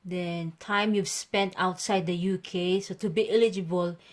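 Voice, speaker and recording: Chatty woman vlogger, lowish voice. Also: fairly even intonation, unhurried. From Philippines. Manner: chatty, addresses viewers as guys, frequent okay and so. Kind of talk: casual tutorial vlog.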